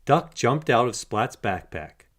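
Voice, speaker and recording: A man reading a children's storybook aloud with animated, expressive delivery. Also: medium pitch, slow pace. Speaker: storybook narrator. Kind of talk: children's read-aloud narration.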